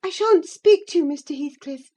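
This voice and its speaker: A spirited teenage girl of good family as a woman narrator puts her on in an audiobook. Her voice leaps high, impulsive and emphatic, exclaiming her words. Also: normal speed, warm tone, close to an everyday voice. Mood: defiant. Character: spirited, impulsive teenage young lady, playful and defiant. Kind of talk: audiobook character voice.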